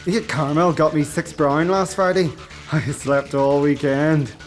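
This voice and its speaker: A man's voice: fairly high pitch, steady tone, unhurried, natural voice. A scheming prisoner from a radio play, acted by a man. The monologue runs over faint music. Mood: matter-of-fact. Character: scheming prisoner trading treats for drugs.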